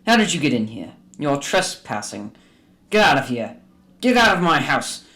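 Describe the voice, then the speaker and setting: An actor playing a grumpy, suspicious old miser in a radio drama, with a blustering, theatrical delivery. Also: male voice, medium pitch, normal speed. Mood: indignant. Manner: excitable, indignant, blustering.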